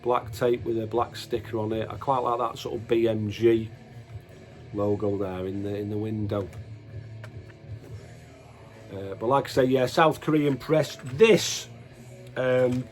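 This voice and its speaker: A man's voice: mid-range voice, level tone, unhurried, pauses often, faint music behind. A man giving casual, unscripted show-and-tell commentary as a host, in a hesitant, matter-of-fact way, often correcting himself. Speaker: host of a music collection video.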